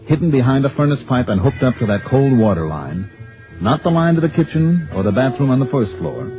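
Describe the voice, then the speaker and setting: A man playing a shrewd American insurance investigator in an old radio detective drama. He is brisk and skeptical, with clipped, probing delivery. The sound is narrow and tinny, with music around the scenes. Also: mid-range voice, natural voice. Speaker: actor in a radio detective series. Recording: tinny vintage radio recording, music behind.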